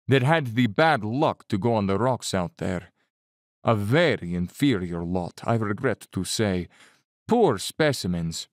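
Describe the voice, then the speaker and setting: An actor playing a suave, cold-blooded Cossack general who loves the hunt, speaking with urbane condescension in an audio drama. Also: male voice, fairly low pitch, dry recording, studio quality. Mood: bland, mock-courteous. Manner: urbane, bland, condescending, theatrically unhurried. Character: suave, cold-blooded aristocratic Cossack general, fanatical big-game hunter.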